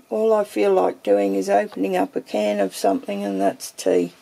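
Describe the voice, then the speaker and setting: Woman vlogger, slow and gravelly, mellow tone; casual home pantry tour, filmed handheld. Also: medium pitch, poor audio. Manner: slow, meandering, thinks aloud with fillers. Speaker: homesteading vlogger.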